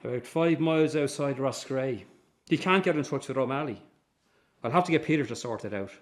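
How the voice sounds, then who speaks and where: An actor playing a weary, patient but strained Irish circus manager in a radio drama. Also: male voice, mid-range voice, fairly quick, lightly acted. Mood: weary, conciliatory. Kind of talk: radio drama acting with narration.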